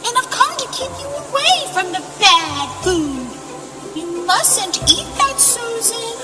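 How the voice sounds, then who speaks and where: A woman voicing a sweet, doting talking soft pretzel that speaks like a motherly god in an audio drama, with music behind. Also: very high voice, very animated, slow pace, exaggerated voice. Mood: grand, doting. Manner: godlike, motherly, soothing.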